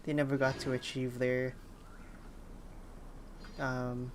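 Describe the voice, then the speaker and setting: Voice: medium pitch, flat delivery, slow pace, slight background noise. A game streamer chatting casually on a livestream, hesitant and rambling while thinking aloud. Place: indoors at a streaming setup.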